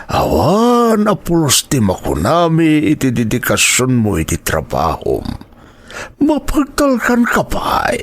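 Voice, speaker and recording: Guy playing fatherly older filipino boss, really high for a man, pitch swings all over, coaxing, radio drama style. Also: heavily acted. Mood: genial, praising. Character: fatherly older male boss, encouraging and persuasive. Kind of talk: Ilocano audio drama acting.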